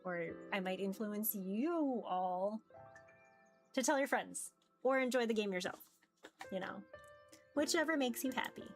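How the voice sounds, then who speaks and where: A woman livestreaming visual novels, chatting casually in a rambling, halting way. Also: medium pitch, fairly bright tone. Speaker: streamer playing visual novels. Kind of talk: casual livestream chat, reading game text aloud. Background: background music.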